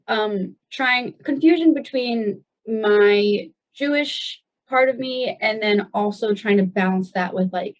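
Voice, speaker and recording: Woman, 20s, from the US, loose chatty guest storyteller, dull lo-fi sound. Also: mid-range voice. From United States. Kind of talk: casual personal storytelling.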